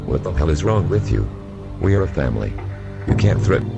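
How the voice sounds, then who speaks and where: A man's voice: a bass voice, very animated, fairly quick, creaky, over loud music, full character voice. A man playing a stern, weary older father who scolds his son. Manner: scolding and impatient.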